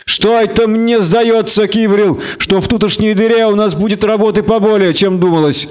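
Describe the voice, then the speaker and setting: Man acting a rough, suspicious thug, pitched up. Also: fairly even intonation, moderate pace, close to an everyday voice. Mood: menacing. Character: stocky, suspicious, mean thug with braids. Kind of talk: audiobook character acting.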